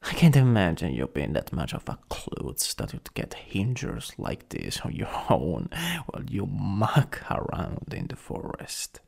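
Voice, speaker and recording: Man playing a creaky Italian-accented jealous supervillain. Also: low-pitched, wildly swooping pitch, measured pace, a little mellow, full character voice. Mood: mocking. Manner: teasing, drawling, part-whispered. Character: jealous, taunting, possessive male supervillain. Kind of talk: ASMR roleplay.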